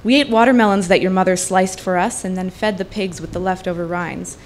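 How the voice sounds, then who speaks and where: Woman, slightly low pitch, literary prose reading, deliberate and fluent, pauses, faint noise of a live recording. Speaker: translator reading at a literary event. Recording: live event with background noise.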